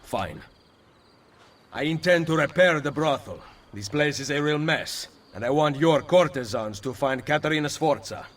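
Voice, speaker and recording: An actor playing a commanding assassin leader in a video game, terse and firm, with an Italian accent. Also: male voice, on the high side, expressive, normal speed. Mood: firm, cool. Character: commanding young-to-middle-aged male Italian assassin leader. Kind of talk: video game cutscene acting.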